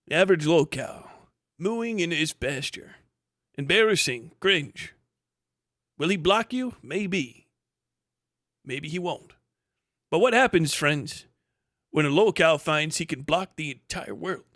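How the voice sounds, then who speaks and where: A man voicing a theatrical, ominous television narrator, portentous and deliberate in a mock-serious way. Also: fairly high pitch, animated, a bit bright, no echo, studio quality. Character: theatrical male Twilight Zone style narrator, grandly ominous.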